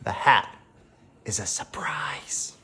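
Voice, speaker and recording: Expressive, slow-paced storyteller with lively pitch. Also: whispered. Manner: deliberate, animated phrasing for children. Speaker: storyteller reading a picture book aloud. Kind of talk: expressive bedtime story read-aloud.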